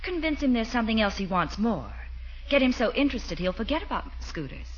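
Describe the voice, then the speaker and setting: An actress playing a sensible, coolly amused school principal in a 1940s radio comedy, composed and gently teasing. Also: female voice, a little high, very animated, brisk. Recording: muffled 1940s radio recording, faint noise.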